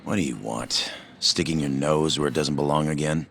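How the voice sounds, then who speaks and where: Gruff yakuza underling, extremely deep, gravelly, curt, game cutscene, faint music. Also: male voice, normal speed. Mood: surly. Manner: curt, grudging. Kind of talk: video game cutscene acting.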